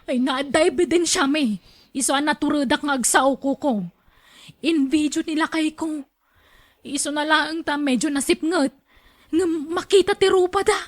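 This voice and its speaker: A woman's voice: high-pitched, moderate pace, close to an everyday voice. A woman with an Ilocano accent playing a fretful, tattling female neighbor in a radio drama.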